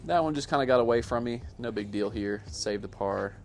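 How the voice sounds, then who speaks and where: A man giving a casual, self-deprecating voice-over commentary on his own amateur sports highlights in a matter-of-fact way. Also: brisk. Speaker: amateur disc golfer narrating own highlights.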